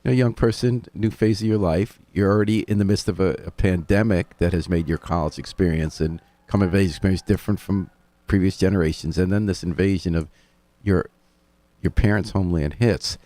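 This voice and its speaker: A man's voice: sits low, fairly quick, mellow. A man hosting a community radio interview, conversational and prodding his guests with questions. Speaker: radio host. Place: radio studio. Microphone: studio microphone.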